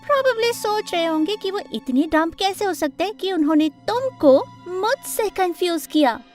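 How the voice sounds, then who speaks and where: Woman putting on a very high, spoiled rich girl. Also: animated, normal speed, a little mellow, faint music behind. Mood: scornful. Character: spoiled, dramatic, lonely young rich actress girl.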